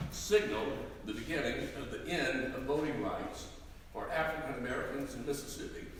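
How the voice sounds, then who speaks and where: A man's voice: medium pitch, fairly bright tone. A man narrating a staged dramatic reading in a solemn, oratorical way. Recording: very echoey hall, distant mic, faint noise.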